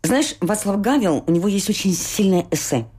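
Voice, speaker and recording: A woman interviewer speaking in a deliberate, emphatic, essayistic way, as in a reflective literary interview. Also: medium pitch, expressive, mellow, breathy edge.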